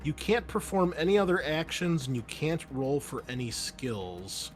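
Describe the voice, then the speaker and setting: A man running a tabletop game as game master, in a casual, relaxed way with frequent fillers. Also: on the high side, a little slow, soft background music.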